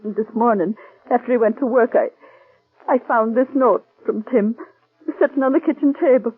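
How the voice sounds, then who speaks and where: Mrs. McNulty, an anxious halting working-class wife. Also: female voice, fairly high pitch, slightly rough, no room sound. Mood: pleading. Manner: anxious, halting, plain-spoken. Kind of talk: 1940s radio acting. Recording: tinny old radio broadcast.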